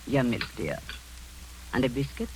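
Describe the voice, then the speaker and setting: An actress in her sixties playing a domineering, sweetly sinister old woman in a 1940s radio drama, speaking softly and insistently with low chuckles. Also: big pitch swings, slow, heavily acted, low-quality recording. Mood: sinister, coaxing. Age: 60s. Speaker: Aunt Rita, Miss Crable. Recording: old radio broadcast with faint hiss.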